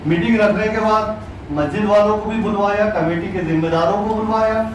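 A middle-aged man addresses a formal meeting in a high-pitched voice. He builds his points phrase by phrase with insistence. The sound is very reverberant and picked up from a distance, with faint noise. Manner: deliberate, phrase-by-phrase, insistent oratory. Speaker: city qazi, community religious leader. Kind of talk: public address at an administrative meeting. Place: crowded indoor meeting room. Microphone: distant camera microphone. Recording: echoey room, distant microphone, faint noise.

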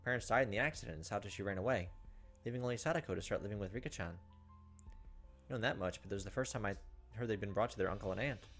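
A man's voice: lowish voice, fast, fairly bright tone. A man, reading visual novel text aloud as a gaming host, with casual asides. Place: indoors, recording at a computer. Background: faint game music.

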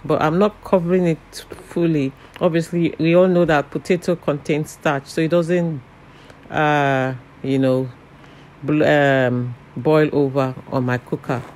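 Chatty man narrating home cooking. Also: a little high. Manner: relaxed, chatty, frequent "you know" and "um" fillers. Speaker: cooking channel host. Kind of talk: casual step-by-step cooking narration.

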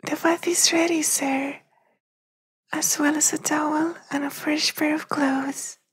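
A man playing a polite, loyal household servant in an ASMR roleplay, formal, deferential and hushed, half whispering. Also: very high voice, little pitch movement, unhurried, slight background noise. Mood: deferential, discreet.

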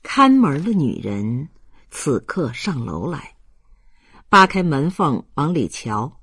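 A man's voice: fairly high pitch, sing-song, slow pace, fairly bright tone, husky, no echo, clean studio sound. A man reading scripted literary narration as an audiobook narrator.

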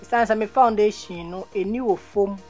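A woman's voice: medium pitch, normal speed, a little mellow, faint music behind. Ghanaian woman chatting through a makeup tutorial. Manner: chatty, repeats words for emphasis. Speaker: beauty vlogger. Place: small indoor room, seated in office chair.

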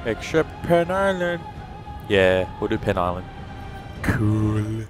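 A man's voice: lowish voice, sing-song, takes it slowly, over music. A man hosting a gaming video with casual, goofy commentary, often repeating himself playfully. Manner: goofy, repeats and echoes lines.

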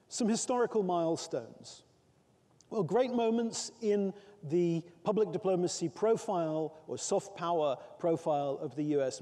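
A middle-aged man giving an academic keynote lecture from a lectern. He speaks in a thoughtful, measured way with frequent pauses. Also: a little high, dark tone. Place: large conference hall, at a lectern. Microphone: lectern microphone. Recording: reverberant hall sound.

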